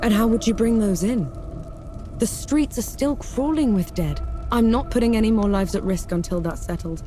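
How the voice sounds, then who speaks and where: A woman's voice: mid-range voice, normal speed, close to an everyday voice. A woman playing a determined, blunt, battle-hardened soldier in a dramatic game cutscene. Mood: resolute, firm. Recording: loud cinematic music behind.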